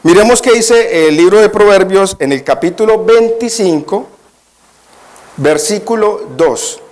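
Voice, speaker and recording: A male preacher with a somewhat high voice who gives a church sermon. He presses his points and keeps asking the congregation questions so that they answer together. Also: measured pace, a little mellow. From Colombia. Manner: nervous at first, then emphatic and questioning the congregation. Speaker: guest preacher.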